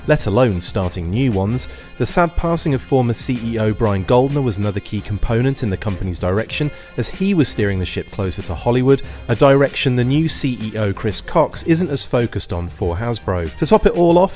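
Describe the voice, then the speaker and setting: A man reading a scripted news report in an even, read-out delivery. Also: mid-range voice.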